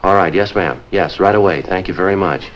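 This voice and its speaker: An actor playing a terse, businesslike police sergeant in a 1950s crime drama, clipped and matter-of-fact. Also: male voice, low-pitched, fairly quick, very noisy, natural voice. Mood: deadpan, businesslike. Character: terse, businesslike male police sergeant narrating his case. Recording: dull vintage 1950s soundtrack, music behind.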